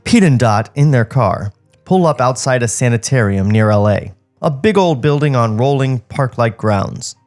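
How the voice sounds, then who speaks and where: A man with a mid-pitched voice co-hosts a comedy podcast. He reads stage directions and breaks off into casual, joking asides. Music plays behind his studio mic. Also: lively intonation, normal speed, a little mellow. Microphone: podcast studio microphone.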